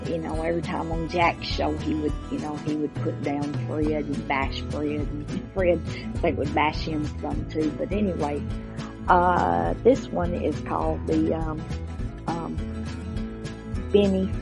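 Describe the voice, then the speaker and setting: A woman introduces programmes in a loose, chatty style. Her voice is low and gravelly with fry. Music plays clearly behind her. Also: steady tone, measured pace. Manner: informal, loose and rambling. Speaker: radio show host.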